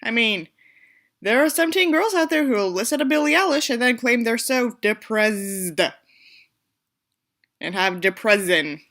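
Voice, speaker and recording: A woman's voice: a little high, lively intonation, a little slow, long pauses, a bit bright. A woman reading an audiobook aloud with theatrical delivery and sarcastic, sweary asides. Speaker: audiobook reader and commentator. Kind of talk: scripted narration with sarcastic asides.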